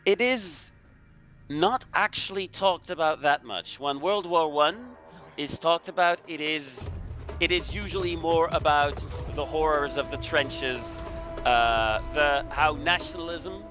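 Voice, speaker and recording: Higher-pitched man over music. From Belgium. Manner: halting, searching for words. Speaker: commentary guest. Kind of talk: casual discussion commentary.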